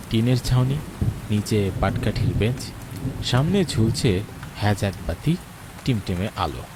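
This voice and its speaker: A man's voice: lowish voice, heavy background noise. A man playing a reflective narrator and wary friend, telling an atmospheric scripted ghost story. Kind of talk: atmospheric scripted horror storytelling with dramatised dialogue. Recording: rain and thunder behind the voice. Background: rain, thunder.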